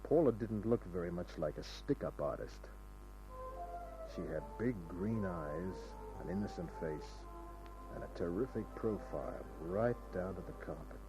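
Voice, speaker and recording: An actor playing a wisecracking, world-weary private detective in an old radio crime drama, with dry, sardonic delivery. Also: male voice, low voice, animated, moderate pace. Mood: sardonic, wry. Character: wisecracking hard-boiled male private detective. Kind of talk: 1940s-50s radio crime drama narration and dialogue. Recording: dull old radio recording with music.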